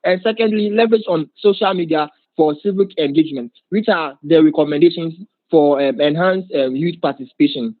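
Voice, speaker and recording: A man haltingly reading a formal committee report over a thin video call connection. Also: a little high, no echo. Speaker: committee member presenting a report. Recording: thin, phone-like call audio.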